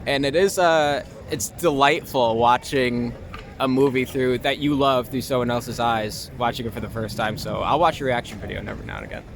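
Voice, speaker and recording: A man's voice: mid-range voice, fairly even intonation, moderate pace. A young American man answering casually in an interview, easygoing and hesitant as he thinks aloud. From Long Island, New York, USA. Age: young adult. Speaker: interview guest, actor and artist. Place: outdoors in a city, with traffic. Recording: noisy outdoor urban recording.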